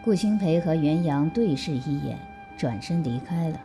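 A woman's voice: low voice, expressive, moderate pace. A woman reading scripted narration as the narrator of an audio drama. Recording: music behind narration.

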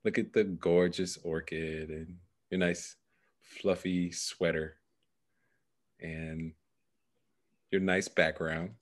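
A man in his thirties coaching a client over a headset, giving measured guided instructions. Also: deep voice, little pitch movement. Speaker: coach guiding a client through a meditation process. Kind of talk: guided meditation and coaching conversation. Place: small indoor room, video call. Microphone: headset microphone.

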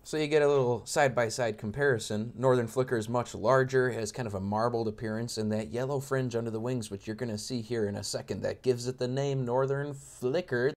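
A man's voice: mid-range voice, fairly even intonation, brisk, hardly any pauses. A young man giving informal, joking, educational commentary over wildlife footage, with an enthusiastic manner. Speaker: amateur birder narrating wildlife footage.

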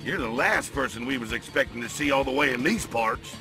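A man's voice: medium pitch, expressive, brisk, pushed and bright, soft background music, rough recording. A man playing a cocky, folksy alien clone villain in a video game, with a drawling Southern American accent. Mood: smug, menacing.

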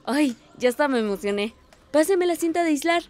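Woman as eager high-voiced teenage niece. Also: expressive, a little fast, a little mellow. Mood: admiring. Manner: eager, questioning. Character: curious, admiring, outspoken teenage village niece. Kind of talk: radio drama dialogue.

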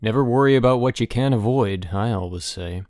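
A man's voice: medium pitch, a little fast, a little mellow, no room sound, clean studio sound, close to an everyday voice. A man voicing a brash, cocky, mischievous schoolboy in an audiobook. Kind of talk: audiobook character voice.

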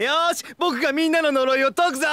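A man playing a hyperactive, cheerful, childlike young goofball in an anime mobile game, with a bright boyish voice and an exuberant manner. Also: very high-pitched, no echo, exaggerated voice. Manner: exuberant, bursting into shouts and laughs.

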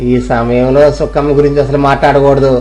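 A man voicing a young village man who boasts and daydreams aloud about rising high. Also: flat delivery, fast talker, a little mellow. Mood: boastful. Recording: music playing behind.

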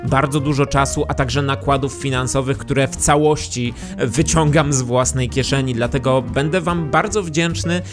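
A man's voice: medium pitch, steady tone, normal speed, hardly any pauses. A man in his thirties presenting to camera as a YouTube host, speaking close into a studio microphone. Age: 30s. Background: music.

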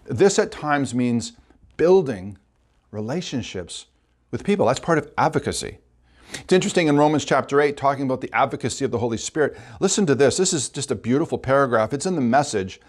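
A middle-aged man preaching as pastor, speaking slowly and reflectively in a room in front of a screen. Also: mid-range voice, expressive. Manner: slow, measured, reflective. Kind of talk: sermon.

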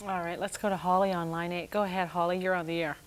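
A middle-aged woman hosting a live TV call-in show, brisk and chatty as she brings in callers. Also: lowish voice, level tone, very noisy. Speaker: talk show host. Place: TV studio set, indoors.

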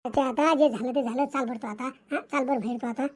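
A man's voice: very high voice, steady tone, quick pace, slight background noise. A man voicing a pleading, flustered cartoon husband, repeating himself insistently with a rural Maharashtrian Marathi accent. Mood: coaxing. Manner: repetitive, insistent. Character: pleading, flustered cartoon husband caught between two wives. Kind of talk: cartoon comedy dialogue.

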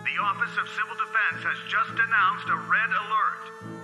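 An actor playing an emergency broadcast announcer who reads a red alert over the radio in a clipped, urgent, official manner. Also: male voice, high-pitched, normal speed, sharp tone. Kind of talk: radio drama acting. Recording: roomy radio broadcast with music.

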